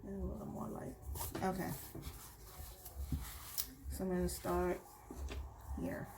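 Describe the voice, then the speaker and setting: A woman's voice: lowish voice, background noise. A woman talking through a craft tutorial at home while she works, a bit sniffly from allergies. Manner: slow, relaxed, talks while working. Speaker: crafter showing a tumbler project.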